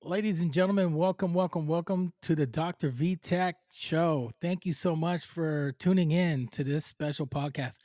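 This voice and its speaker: A man's voice: fairly high pitch, moderate pace, few pauses, warm tone, no echo, studio quality. A man hosting a casual podcast interview, hyped and playfully exaggerating as he repeats himself. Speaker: podcast host.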